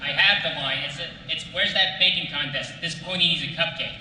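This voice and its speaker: A man's voice: fairly high pitch, a little fast, bright, forceful tone. A man asking questions casually as an eager, slightly flustered fan in the audience. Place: convention panel room. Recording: very echoey hall from far off, faint noise.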